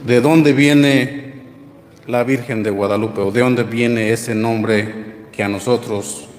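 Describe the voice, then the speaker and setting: A man's voice: medium pitch, fairly even intonation, slow pace. A man with a Mexican accent asking a question at a religious talk, hesitant and rephrasing as he goes. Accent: Mexican Spanish. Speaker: audience member asking a question. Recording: echoey hall with long reverb.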